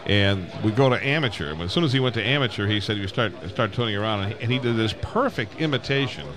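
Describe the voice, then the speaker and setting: Male radio host, lower register, folksy and meandering, live from a busy crowded floor. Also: expressive, fast talker. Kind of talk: casual live radio chat. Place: live broadcast from a busy ham radio convention. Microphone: broadcast microphone with PA amplifier. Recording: crowded convention floor, busy background chatter.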